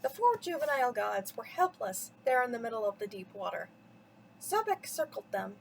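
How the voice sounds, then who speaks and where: A woman's voice: on the high side, very animated, moderate pace, gravelly, slight background noise, low-quality recording. A woman reading a mythology story aloud for children as a storyteller, with dramatic, theatrical emphasis. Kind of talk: expressive bedtime story read-aloud.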